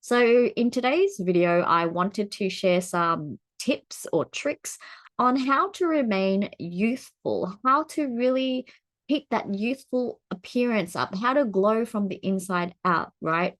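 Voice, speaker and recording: A woman in her forties vlogging to camera in a casual, chatty way, laughing often, with her pitch swinging up when she gets excited. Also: takes it slowly. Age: 40s.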